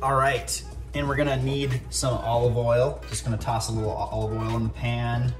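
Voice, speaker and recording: A man's voice: medium pitch, little pitch movement, normal speed. Young dude rambling thru a cooking vlog in his kitchen, goofy asides, music in the background. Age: young adult. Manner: chatty, rambling, playful asides. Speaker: home cooking vlogger. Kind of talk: casual cooking vlog chat. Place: home kitchen, indoors. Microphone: camera on the counter, moving around the kitchen. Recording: clear background music under the voice.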